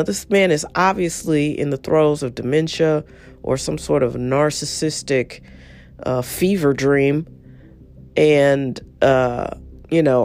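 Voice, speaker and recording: A woman hosting her show alone, husky and a little nasal from congestion. She talks bluntly and with energy, and she swears casually. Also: low voice, a little mellow. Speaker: show host. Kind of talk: opinionated solo monologue.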